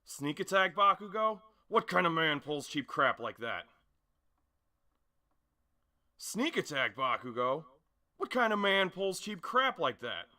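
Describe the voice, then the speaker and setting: Casting: brash, spirited teen superhero student for an anime dub, forceful and bright, punchy delivery, performed by a man. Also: on the high side, big pitch swings, measured pace, voice pushed up, exaggerated voice. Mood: indignant. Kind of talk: anime dub audition acting.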